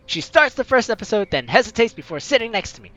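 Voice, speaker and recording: A young woman hosting a let's-play, reading game text aloud and commenting on it. Her bright voice swings widely in pitch, with a theatrical, teasing manner, over faint music. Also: very animated, fast talker, highs cut off. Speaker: gaming video host.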